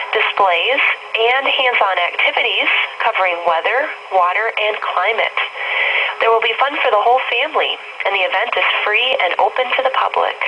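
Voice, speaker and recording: A woman's voice: medium pitch, bright, forceful tone. A synthetic-sounding woman's voice reads a scripted public announcement formally and with careful enunciation. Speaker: weather radio announcer. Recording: muffled weather radio broadcast, faint static.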